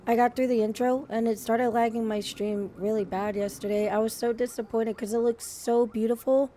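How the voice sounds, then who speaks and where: A woman streaming live, chatting casually and gushing, her voice a little hoarse, with frequent restarts. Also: on the high side, flat delivery, moderate pace, warm tone, a little hiss or hum. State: slightly hoarse.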